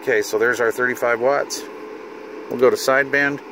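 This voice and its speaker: A man's voice: mid-range voice, steady tone, brisk. Man chatting over noticeable car noise. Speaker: hobbyist video host demonstrating radio gear. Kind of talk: casual hands-on demonstration. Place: inside a parked vehicle.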